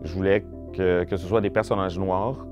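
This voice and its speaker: A man from Quebec, a comedian, talking calmly in a documentary interview. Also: low-pitched, normal speed, muffled recording, music in the background. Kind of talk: casual testimony.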